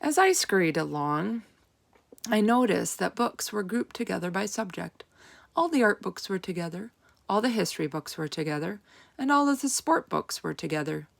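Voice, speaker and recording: A woman reading a children's storybook aloud as narrator, with expressive, deliberate emphasis. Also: medium pitch, gravelly.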